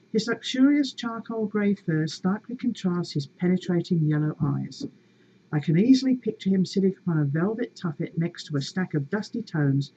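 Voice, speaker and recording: A woman reading a story aloud in a measured, expressive and wry way, with casual asides to her viewers. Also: on the low side, breathy edge, lo-fi sound.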